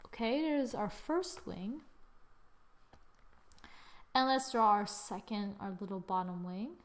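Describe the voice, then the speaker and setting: A woman hosting a casual drawing software tutorial, thinking aloud informally and often murmuring as she works. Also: mid-range voice, expressive, slow pace. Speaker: tutorial host. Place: screen-recording voice-over.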